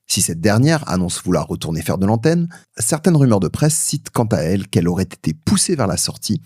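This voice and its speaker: A man's voice: deep voice, mellow, breathy edge, no echo, studio quality. A man hosting a podcast, reading a scripted introduction and news update. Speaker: podcast host presenting news.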